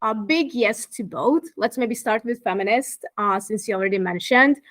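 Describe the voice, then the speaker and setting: A woman's voice: fairly high pitch, normal speed, fairly bright tone. Thoughtful woman from Croatia. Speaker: guest, fashion activist. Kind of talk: interview conversation.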